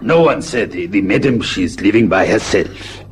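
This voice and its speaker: An actor as a subdued male servant in an old radio play, with a South African accent. His voice is low and deferential, over faint background noise. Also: expressive, normal speed, natural voice. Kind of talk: vintage radio drama acting.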